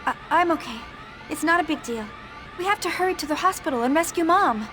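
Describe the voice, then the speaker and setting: High-voiced, protective young heroine. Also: female voice, expressive, fairly quick. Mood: anxious, determined. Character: protective, earnest young woman comforting a child. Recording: loud music over the dialogue.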